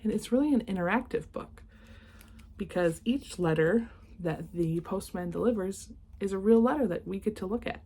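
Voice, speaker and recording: A woman reading a children's picture book aloud, expressive in the story and chatting casually and enthusiastically in asides. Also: mid-range voice, normal speed, slight background noise. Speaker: read-aloud host.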